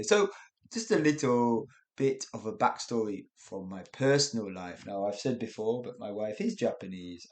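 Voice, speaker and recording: Male teacher, relaxed podcast-lesson chat, slow pace, animated pitch. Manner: chatty and self-correcting, with frequent laughs. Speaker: English teacher, podcast host. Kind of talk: casual spoken English lesson.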